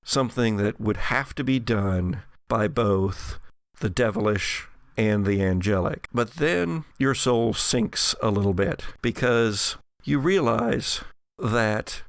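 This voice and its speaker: A man's voice: lowish voice, takes it slowly, vocal fry, no room sound. A man acting as game master, narrating a tabletop horror role-playing game.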